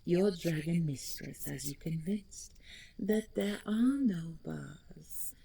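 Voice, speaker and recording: A woman playing the vain, taunting ghost of a deposed dragon queen in an audio roleplay, speaking theatrically and mockingly with a breathy voice that slips into whispers. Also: slow, dark tone, heavily acted. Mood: taunting, menacing. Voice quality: low, breathy, husky, creaky. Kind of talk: dramatic audio roleplay monologue.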